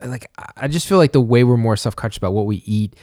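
Thirties male co-host, middle pitch, rambling and halting, dry close recording. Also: dark tone, dry recording. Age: 30s. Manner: rambling, halting, many fillers. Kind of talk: casual chat.

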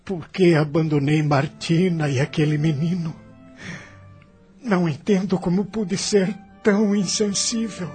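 A man's voice: on the high side, fairly even intonation, measured pace, darkish tone, soft background music, natural voice. An actor with a Brazilian accent playing a confused, remorseful spirit of a dead man in a radio drama, anguished and questioning.

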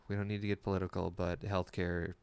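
Man in his thirties, very low pitch, creaky fry, casual podcast host, plainspoken. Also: little pitch movement, fairly quick. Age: 30s. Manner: informal, plainspoken.

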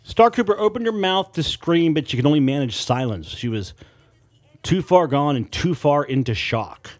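A man reading scripted horror fiction as an audiobook narrator, in a dramatic, deliberate storytelling manner with weighted pauses. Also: on the high side, expressive.

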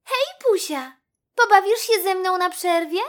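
Very high-pitched little girl mouse. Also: expressive, measured pace. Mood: admiring, friendly. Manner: soft-hearted, childlike, earnest. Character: sweet, sensitive little girl mouse at school. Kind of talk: children's storybook character voice.

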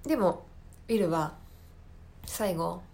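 A woman hosting a podcast in a reflective solo talk, speaking earnestly and often pausing to search for her words. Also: lowish voice, gravelly, darkish tone. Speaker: podcast host. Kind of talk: reflective solo talk, personal film commentary.

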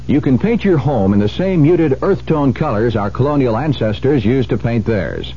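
A man's voice: medium pitch, lively intonation, normal speed, loud music behind the voice. A man reading a scripted radio commercial as the product spokesman. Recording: dull hissy 1970s radio recording.

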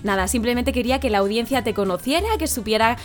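A woman's voice: on the high side, fast talker, soft background music. Bossy, teasing radio host woman. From Madrid, Spain. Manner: teasing, bossy, laughs often. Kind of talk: live morning radio chat.

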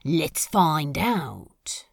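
Woman voicing a male demon, sardonic, brooding, creaky voice, swooping pitch, fan audio drama. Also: sing-song, drawn-out words, exaggerated voice. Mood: taunting. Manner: sardonic, challenging. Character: brooding, taunting male demon, wounded and defiant.

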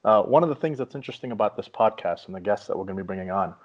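A man's voice: on the low side, animated, very fast. A man from Afghanistan hosting a conversational podcast, explaining his points at length and with emphasis. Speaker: podcast host. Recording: dull lo-fi audio.

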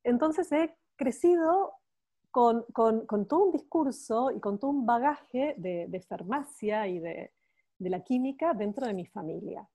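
Enthusiastic Argentine woman with a high voice. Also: animated, unhurried. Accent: Buenos Aires Spanish. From Buenos Aires, Argentina. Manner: warm, enthusiastic storytelling with frequent restarts. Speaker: guest, cosmetics expert. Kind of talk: podcast interview.

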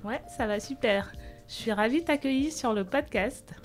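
A woman hosting a career podcast, asking her guest questions in a friendly, measured way. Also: on the high side, faint music behind. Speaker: podcast host. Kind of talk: podcast interview.

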